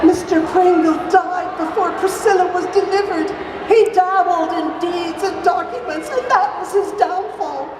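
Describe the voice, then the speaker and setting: A woman's voice: extremely high pitch, unhurried, noisy background. A woman playing a fretful, impoverished widowed mother, declaiming melodramatically on stage. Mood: wistful, lamenting. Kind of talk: live stage melodrama acting. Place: live stage, far from microphone. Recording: echoey hall, distant, crowd and music.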